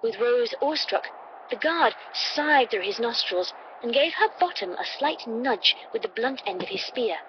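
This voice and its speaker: Lady reading a story out loud like an audiobook, kinda lo-fi and noisy. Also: fairly high pitch, animated, normal speed. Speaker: audiobook narrator. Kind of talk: scripted story narration. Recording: dull, noisy playback through computer.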